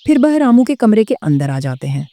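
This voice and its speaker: A woman's voice: medium pitch, sing-song, fairly quick, dark tone, dry recording. A woman narrating a horror story from a script.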